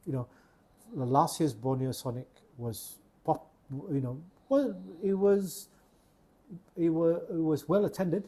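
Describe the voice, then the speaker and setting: A Malaysian-accented man from Sarawak talks through a relaxed podcast conversation. His voice is husky and dark, and he often stumbles and repeats words as he gathers his thoughts. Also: a little high, unhurried. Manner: hesitant, repeats words while searching for phrasing. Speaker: podcast host. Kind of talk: casual chat.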